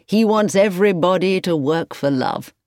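A woman's voice: lowish voice, moderate pace, darkish tone, dry recording, studio quality, lightly acted. A woman voicing a cheerful, admiring young man who exclaims eagerly.

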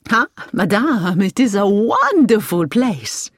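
A woman's voice: on the high side, animated, a little slow, a little mellow, no room sound, studio quality, voice pushed up. A woman voicing an eager, proud and polite male driver in a storybook, in an enthusiastic, emphatic way.

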